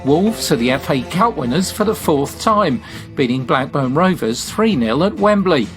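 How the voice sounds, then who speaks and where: A male radio presenter with a fairly high, very animated voice. He delivers playful scripted links for a music show, with some music faintly behind him. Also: moderate pace.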